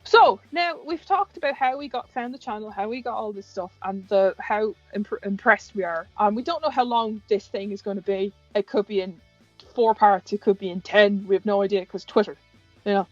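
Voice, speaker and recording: A woman's voice: mid-range voice, moderate pace, dry recording. An Irish woman chatting casually as co-host of a fan discussion, with banter and some swearing. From Ireland. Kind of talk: casual chat. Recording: muffled lo-fi audio with music. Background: music.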